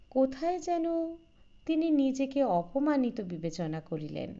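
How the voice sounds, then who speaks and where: A woman reading a literary story as scripted narration, in a measured, expressive way. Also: on the high side, lo-fi sound. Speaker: audiobook narrator.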